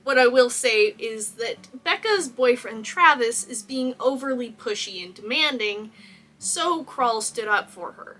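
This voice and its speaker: Young woman, from Texas, cosplay host, bright tone, slightly high voice, chatty, laughs a lot, faint music behind. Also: animated, a little slow, rough recording. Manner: chatty and enthusiastic, laughs often. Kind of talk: casual movie costume analysis.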